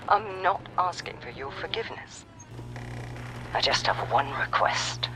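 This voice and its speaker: An actor playing a wry, resigned villain facing death in a video game, weary and gravelly. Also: male voice, medium pitch, background noise. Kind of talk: dramatic video game acting.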